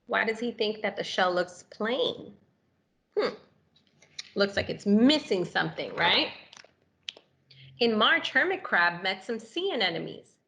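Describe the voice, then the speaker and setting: Female children's story reader, medium-pitched, expressive and animated, engaging young listeners as she reads. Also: takes it slowly, gravelly, pauses often. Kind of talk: expressive picture-book read-aloud.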